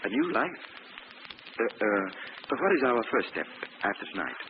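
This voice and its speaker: Nervous gullible inventor professor, lively pitch, old radio drama w/ lots of noise. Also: male voice, normal speed. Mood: uneasy. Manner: anxious, hesitant, excitable. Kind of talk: old-time radio mystery acting. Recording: muffled, noisy old radio recording.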